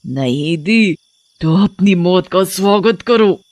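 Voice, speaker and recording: A woman voicing a menacing, gloating drunken witch with drawn-out, cackling delivery for a horror-comedy story. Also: fairly low pitch, animated, darkish tone, no echo.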